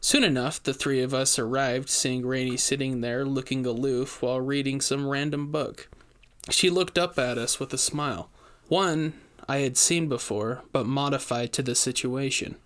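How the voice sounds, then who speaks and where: A man's voice: medium pitch, fairly even intonation, moderate pace, a bit bright. Man narrating a first-person story. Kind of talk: first-person scripted audio fiction narration.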